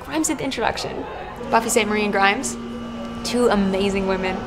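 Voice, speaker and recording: A woman musician answering questions in a backstage interview, pausing to search for her words. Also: medium pitch, a crowd in the background, background noise. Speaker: musician guest.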